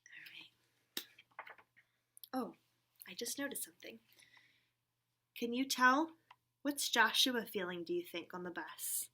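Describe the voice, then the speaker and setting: A woman's voice: on the high side, lively intonation, slow, long pauses, sharp tone. A woman hosting a children's story time, reading a picture book aloud and expressively asking her listeners questions.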